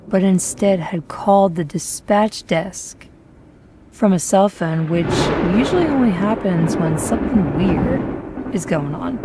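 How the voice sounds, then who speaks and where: A woman giving a slow, somber first-person horror story narration. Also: medium pitch, background noise.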